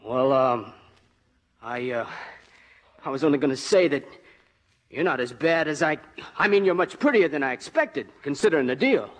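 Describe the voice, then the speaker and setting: A man's voice: medium pitch, animated, natural voice, rough recording. An actor playing a tongue-tied, slangy young college man in a 1950s radio comedy. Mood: awkward. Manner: halting, casual, blunt.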